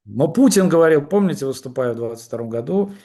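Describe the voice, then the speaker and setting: A man speaking as a guest in a political conversation, making his case in a halting, argumentative way with many fillers and restarts. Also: on the high side, lively intonation, dark tone.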